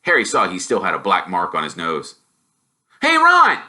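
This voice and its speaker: A man narrating a storybook in an expressive, animated way, as someone who performs the characters. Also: low-pitched, fairly quick. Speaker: reader, teacher.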